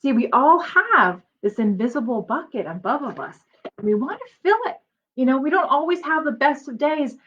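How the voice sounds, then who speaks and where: A woman reading a children's storybook aloud in an expressive way, drawing out her emphasis and pausing to explain things to young listeners, like a teacher. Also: sits high, a little hiss or hum. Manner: animated, drawn-out emphasis, explains to children as she goes. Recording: dull lo-fi sound.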